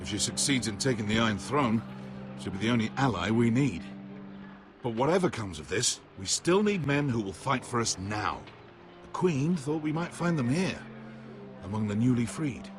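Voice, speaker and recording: A man playing a pragmatic, diplomatic middle-aged noble counsellor in a fantasy video game, speaking deliberately. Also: mid-range voice, sing-song, lightly acted. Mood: measured, advising. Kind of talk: dramatic video game acting. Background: prominent music.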